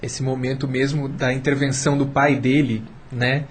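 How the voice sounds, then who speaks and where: A man's voice: medium pitch, flat delivery, moderate pace, a little hiss or hum. A man with a Brazilian accent offering unscripted reflections in a discussion.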